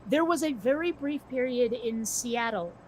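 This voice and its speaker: A woman's voice: on the high side, animated, measured pace, darkish tone, a little hiss or hum, rough recording. A woman chatting casually in a wry, rambling storytelling way. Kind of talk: casual chat.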